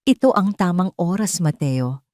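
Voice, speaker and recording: A woman's voice: fairly low pitch, animated, moderate pace, a little mellow, no echo, studio quality, lightly acted. A woman voicing a hurt, angry young wife who accuses and pleads in a Tagalog audio drama. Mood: furious. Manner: accusing, pleading rhetorical questions.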